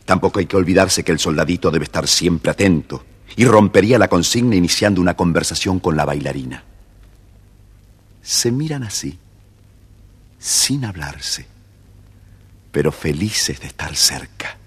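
A man's voice: low voice, lively intonation, moderate pace, long pauses, slightly rough. A man with an Argentine accent narrating a scripted children's tale, speaking directly to young listeners. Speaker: storyteller, narrator.

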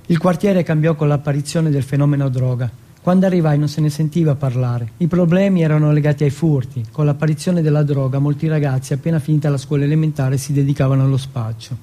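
Higher-voiced man narrating a monologue. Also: steady tone, fast, hardly any pauses, dark tone. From Turin, Italy. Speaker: monologue performer. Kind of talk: dramatic autobiographical stage narration.

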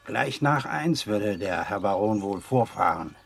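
An actor playing a deferential, formal old family servant in a German radio play. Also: male voice, lowish voice, expressive, unhurried, gravelly, a little hiss or hum.

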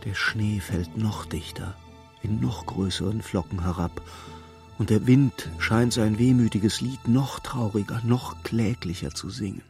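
An actor as the narrator of a literary radio play, telling the story precisely and with dramatic suspense. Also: male voice, on the low side, measured pace, creaky, darkish tone. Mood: suspenseful. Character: male storybook narrator, vivid and urgent observer. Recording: studio radio play with music.

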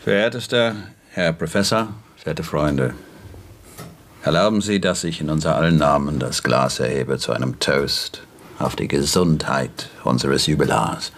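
An actor playing a very aged, courteous English gentleman in a radio play, speaking with formal ceremony. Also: rumbling low, a little slow, slight background noise, heavily acted. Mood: ceremonious. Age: older. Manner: formal, ceremonious toast-giving. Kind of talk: German radio play acting.

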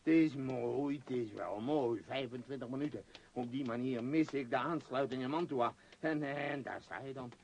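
A man's voice: mid-range voice, normal speed, creaky, a little mellow, a little hiss or hum, lightly acted. An actor in a radio play voicing a domineering, self-pitying business magnate, blustering and muttering as he gives orders. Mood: grumbling. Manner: blustering, commanding, muttering hesitations.

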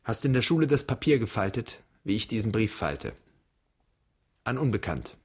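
Husky, brooding man. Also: lowish voice, sing-song, normal speed. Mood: tender, imagined letter. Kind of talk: literary radio drama monologue.

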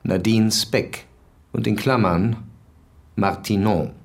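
Low-voiced commanding police commissioner. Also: male voice, fairly even intonation. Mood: polite, probing. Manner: measured, formal, authoritative. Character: male police commissioner, commanding, probing, controlled investigator. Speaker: lead actor in a crime radio drama. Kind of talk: radio drama acting.

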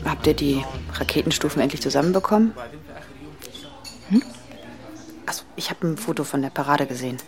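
An anxious, dutiful young woman with a secret. Her voice sits low, in an intimate radio play with music clearly underneath. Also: a little fast, pauses often. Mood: guarded, casual. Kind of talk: radio drama acting with inner monologue.

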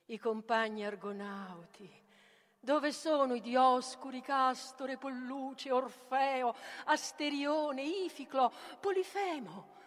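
A woman's voice: high-pitched, slow pace. A woman playing a bitter, betrayed Greek hero in a declamatory tragic stage monologue, moving between whispers and outcries. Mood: desperate calling. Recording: reverberant hall with long echo.

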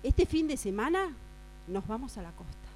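A woman acting a gossipy friend confiding secrets in a hushed, urgent way with an Argentine accent, in an improvised radio drama. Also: fairly high pitch, sing-song, moderate pace. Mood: secretive, excited. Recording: mains hum noise.

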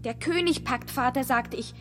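An actress playing a young daughter, anxious to flee, who insistently pleads and reports in a German radio play. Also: female voice, high voice, normal speed, music in the background, close to an everyday voice. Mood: urgent, insistent.

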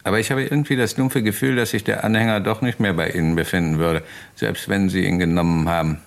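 Low-voiced, sceptical police inspector. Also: male voice, fairly even intonation, creaky. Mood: measured, probing. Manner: formal, deliberate, politely probing. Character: sceptical, courteous male Scotland Yard police inspector. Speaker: actor in a crime radio play. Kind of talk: German radio crime drama acting.

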